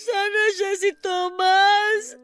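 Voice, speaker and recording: A woman playing an emotional, remorseful middle-aged mother in a Filipino radio drama, with a pleading, drawn-out delivery. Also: extremely high pitch, level tone, fairly bright tone, dry recording, heavily acted. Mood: tearful pleading. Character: weeping, remorseful mother reunited with her long-lost son. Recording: music bed under the voice.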